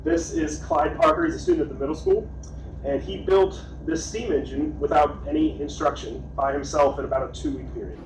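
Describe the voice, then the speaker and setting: A man's voice: a little high, steady tone, fairly quick. A man giving a casual, self-deprecating report at a school board meeting. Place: meeting room. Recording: echoey room, far mic, noisy.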